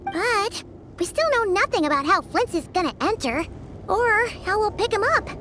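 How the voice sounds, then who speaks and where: A woman voicing a small, childlike, excitable fairy companion in a video game, with a squeaky, chatty delivery. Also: moderate pace, a little hiss or hum, heavily acted. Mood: eager. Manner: chatty, expressive, exclaiming. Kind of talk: video game character acting. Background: music.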